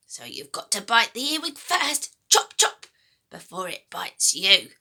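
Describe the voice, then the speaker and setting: A woman voicing a sinister, gleeful old grandmother from a children's storybook, throaty and whispery, with a drawn-out, menacing delivery. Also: medium pitch, wildly swooping pitch, pushed and bright, full character voice. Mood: gleeful relish. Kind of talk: dramatic children's storybook reading.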